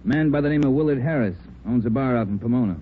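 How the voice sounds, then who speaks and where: A man's voice: medium pitch, fast, natural voice. Clipped American police chief, played by a man, muffled. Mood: businesslike. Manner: clipped, businesslike. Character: gruff, terse male police chief briefing his detectives. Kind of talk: 1940s radio crime drama acting. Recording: muffled, noisy vintage 1940s radio recording.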